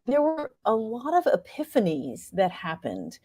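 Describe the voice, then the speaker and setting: An American woman speaking reflectively as an interview guest, thoughtful and halting as she searches for her words. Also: medium pitch, huge pitch swings, dark tone, slightly rough, dry recording. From New York state, United States. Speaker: interview guest, playwright and performer.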